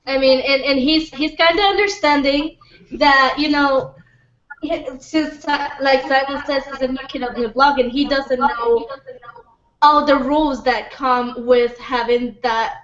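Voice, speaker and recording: A woman in a casual group discussion, making her points haltingly and repeating words as she goes. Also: sits high, fairly even intonation, fairly bright tone. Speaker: panelist in a film discussion. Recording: dull, echoey room recording.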